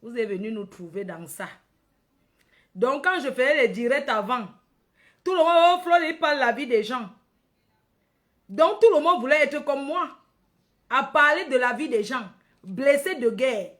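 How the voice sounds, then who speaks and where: A woman's voice: high-pitched, animated, unhurried, pauses often, a bit bright. A woman from Cameroon with a Cameroonian accent hosting a casual livestream, addressing followers by name, repeating phrases and tagging on questions. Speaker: livestream host.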